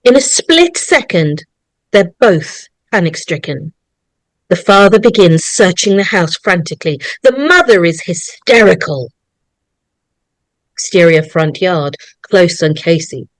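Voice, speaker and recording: Lady reading horror screenplay stage directions, slow and dramatic, no room echo. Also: lowish voice, animated. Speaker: narrator.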